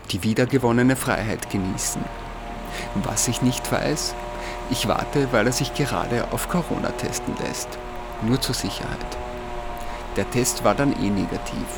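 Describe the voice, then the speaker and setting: Austrian man narrating, medium-pitched voice. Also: vocal fry. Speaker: radio show host. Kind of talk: scripted radio narration.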